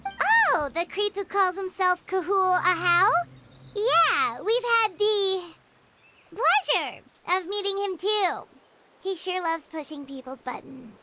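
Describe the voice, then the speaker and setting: Small, candid game companion: cute childlike voice, very high and lively, chatty with a wry edge. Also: female voice, takes it slowly, very noisy, heavily acted. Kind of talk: video game voice acting. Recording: loud music behind.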